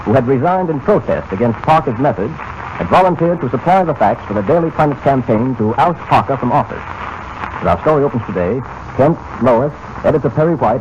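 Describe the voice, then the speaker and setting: An actor as an earnest announcer, delivering dramatic scripted narration for a 1940s radio serial. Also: male voice, mid-range voice, lightly acted. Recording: noisy, dull old-time radio recording.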